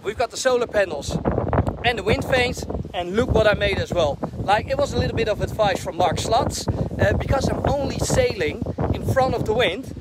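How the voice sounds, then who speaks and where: A man in his thirties with a Dutch accent, giving an excited tour of his sailboat while filming himself. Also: sits high, normal speed, very noisy. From Netherlands. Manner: enthusiastic, chatty, addresses viewers as guys. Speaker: sailing vlogger. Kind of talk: casual vlog boat tour. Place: on a sailboat in a harbor, cabin and deck. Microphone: camera at arm's length.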